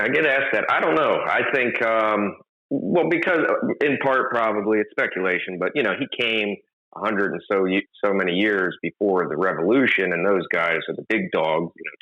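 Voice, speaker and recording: Guest filmmaker from Maryland, low voice, crisp bright tone, dull lo-fi sound, halting with fillers. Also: male voice. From Maryland, USA. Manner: hesitant, many fillers and restarts. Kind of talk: conversational interview.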